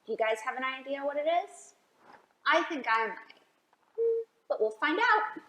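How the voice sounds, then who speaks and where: A woman reading a picture book aloud for a children's storytime, expressive and often asking the listeners questions. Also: high voice, normal speed, roomy sound, low-quality recording. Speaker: library storytime reader.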